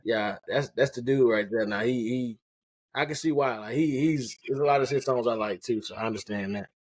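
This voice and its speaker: A man hosting a casual interview, relaxed and slangy, often restarting his questions. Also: medium pitch, fairly quick, breathy edge, no room sound. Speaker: interview host and rapper.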